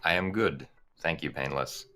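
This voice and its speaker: Male player, extremely deep voice with a bright edge, calm and modest. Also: normal speed. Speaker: competitor being interviewed. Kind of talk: casual interview answers.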